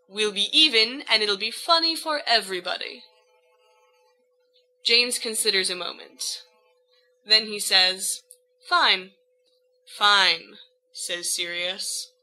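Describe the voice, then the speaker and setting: A woman's voice: medium pitch, sharp tone, poor audio. A woman narrating fiction as an audiobook reader, voicing the dialogue as she goes.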